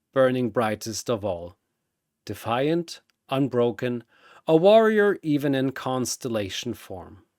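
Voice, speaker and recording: A man's voice: mid-range voice, dry recording, clean studio sound. A man narrating a scripted fantasy battle as a dramatic storyteller.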